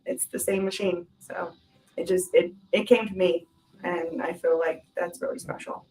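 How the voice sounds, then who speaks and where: A woman's voice: on the low side, moderate pace, darkish tone, distant mic, low-quality recording. A woman telling her personal story in a reflective, informal way, as in a documentary interview. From Hawaii, island of Kauai. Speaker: shaved-ice business owner.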